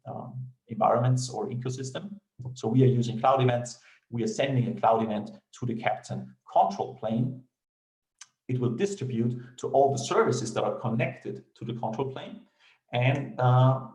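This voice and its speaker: A man's voice: lowish voice, darkish tone, roomy sound, poor audio. A man from Austria with an Austrian German accent and German as his first language, giving a remote technical conference talk with many fillers and restarts. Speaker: conference speaker.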